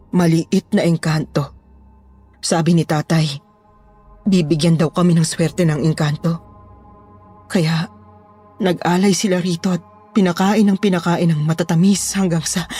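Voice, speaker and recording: A woman's voice: deep voice, husky. A woman playing an unhinged, grieving lady employer haunted by her lost parents, acting melodramatically in a horror audio drama. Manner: melodramatic, swinging from soft to shrieking.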